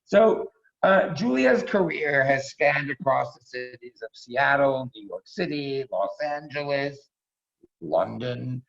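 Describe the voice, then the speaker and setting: A man's voice: medium pitch, animated, slow pace, vocal fry, a bit bright. A middle-aged man casually introducing performers as host of a staged reading over a video call, effusive and repeating himself for emphasis. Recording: dull video call audio.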